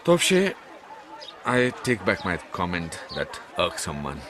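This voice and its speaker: An actor with an Indian accent playing an excitable, good-natured thriller writer and comic sidekick in a radio drama, with theatrical delivery. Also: male voice, sits low, slow, crowd noise, exaggerated voice. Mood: wistful.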